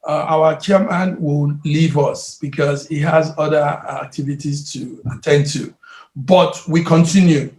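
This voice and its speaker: A man's voice: on the high side, steady tone, darkish tone. Middle-aged man moderating a video call. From Nigeria. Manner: polite, thanking, halting restarts. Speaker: meeting moderator. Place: indoor home office. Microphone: webcam on video call. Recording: roomy echo.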